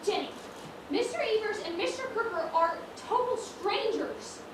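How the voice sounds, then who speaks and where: Woman acting a flustered shop owner, animated pitch, bossy, stage comedy, distant noisy recording. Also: normal speed. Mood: panicky. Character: flustered, bossy widowed dress shop keeper. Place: school theatre stage, distant microphone. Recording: echoey stage from far off, noisy.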